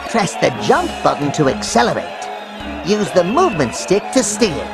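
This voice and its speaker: A man's voice: sits high, huge pitch swings, moderate pace, gravelly, over music, full character voice. A man voicing a dim, earnest, goofy cartoon brother creature for a video game. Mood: puzzled.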